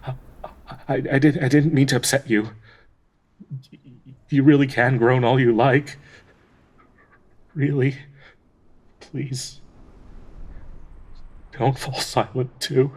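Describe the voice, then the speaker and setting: A man playing a gentle, devoted dryad tree spirit in an intimate audio roleplay, anxiously hopeful, halting and stammering tenderly with nervous soft laughs in a breathy voice. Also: medium pitch, fairly even intonation. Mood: anxious, pleading.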